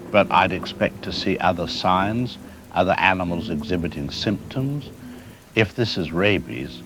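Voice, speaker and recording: A man playing a careful, deliberate wildlife expert doctor interviewed on the news in an audio drama. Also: deep voice. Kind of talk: broadcast interview acting.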